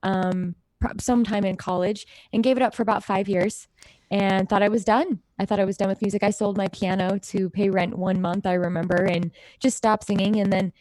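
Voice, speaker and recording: A woman's voice: medium pitch, fairly even intonation, fairly quick, a little mellow, dry recording. An American woman chatting casually and informally as an interview guest, telling personal stories in a friendly way. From United States, raised in Idaho and Utah. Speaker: interview guest, singer-songwriter. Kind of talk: casual chat, personal storytelling.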